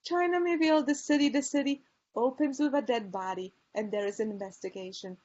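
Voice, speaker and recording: A woman with a mixed Polish and Chicago accent presents to a room of peers, recommending books eagerly with many ums and restarts. Her voice sits a little high. The recording sounds dull and lo-fi. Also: steady tone, brisk, darkish tone. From Poland. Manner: enthusiastic book recommendations with frequent fillers. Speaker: librarian presenter. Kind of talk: conference presentation.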